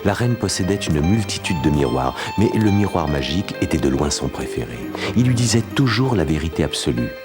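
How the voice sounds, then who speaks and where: Music plays under a fairy-tale narrator with a very deep bass voice. The scripted storybook delivery is measured and dramatic, as for an animated film. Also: male voice. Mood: storytelling.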